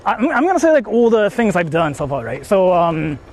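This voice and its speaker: Guy rambling on a phone stream outside, kinda high voice, faint noise behind. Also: very animated, brisk. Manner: rambling, many fillers, explains tech setup. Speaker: IRL streamer. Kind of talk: casual live-stream talk to chat. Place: outdoors in the countryside, on the move. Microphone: phone held while streaming.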